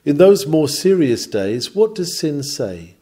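A man's voice: a little high, big pitch swings, slow pace, warm tone. A man reading a scripted sermon in a solemn, emphatic, rhetorical manner. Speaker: reader of a historical sermon. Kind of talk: sermon, read from a script with oratorical delivery.

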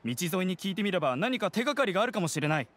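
A man's voice: high voice, very animated, racing, a bit bright, slight background noise. A man voicing a friendly young merchant in a game, chatting in an easygoing, helpful way.

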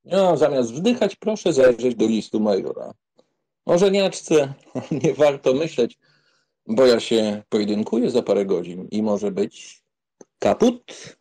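A man's voice: medium pitch, very animated, unhurried, mellow. A man playing a flippant, teasing young aristocratic count in an audiobook drama, mocking and amused. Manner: mocking, amused, mock-solemn.

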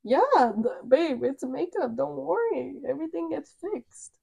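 High, gravelly young woman. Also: expressive, unhurried, background noise. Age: young adult. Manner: teasing, reassuring. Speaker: girlfriend doing makeup. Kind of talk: casual chat. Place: small bedroom, indoors.

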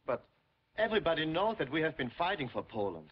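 A man's voice: a little high, big pitch swings, fast, breathy edge. A man playing an indignant, trusting underground resistance member in a 1950s film drama, speaking insistently. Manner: insistent, protesting. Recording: muffled vintage film soundtrack.

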